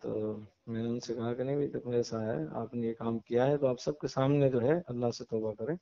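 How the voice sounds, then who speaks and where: A man, an imam, speaking on a phone call interview in a measured, preachy way, slowing down to stress moral points. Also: medium pitch, steady tone, vocal fry, mellow. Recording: muffled phone call audio.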